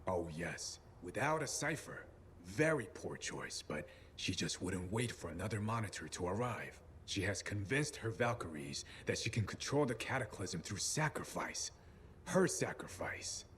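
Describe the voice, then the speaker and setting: A smug, eccentric male scientist held captive, speaks low with very lively, swooping intonation. He mocks and patronizes like a showman, in a game scene with faint noise behind him. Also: normal speed. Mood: smug, taunting. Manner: mocking, patronizing, theatrical. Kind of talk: video game cutscene acting.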